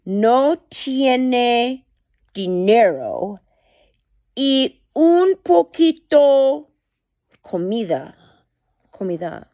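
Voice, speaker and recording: A woman's voice: a little high, big pitch swings, drawn-out words, pauses often, dark tone, no room sound, clean studio sound. A mother reading a book aloud to her children at home, stopping often to comment and explain. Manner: chatty, stops to comment and explain to listeners.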